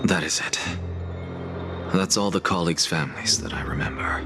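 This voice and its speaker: An actor playing a grave, composed male scholar in a video game, blunt and formal, with measured delivery. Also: sits low, close to an everyday voice. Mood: curt, composed. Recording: loud background music.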